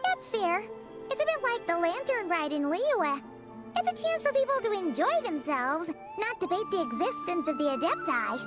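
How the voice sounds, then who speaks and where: A woman's voice: very high voice, sing-song, moderate pace, loud music behind the voice. A woman voicing a small, chatty, cheerful fairy-like girl companion in a video game, with exclamatory delivery. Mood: thoughtful. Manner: chirpy, exclamatory. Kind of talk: animated game dialogue.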